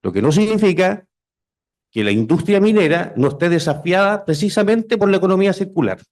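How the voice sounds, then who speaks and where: A man's voice: sits high, lively intonation, a little fast, a little mellow. A Chilean man speaking as a conference presenter, informal and conversational, self-deprecating and repeating points for emphasis. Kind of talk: informal presentation to a seminar audience.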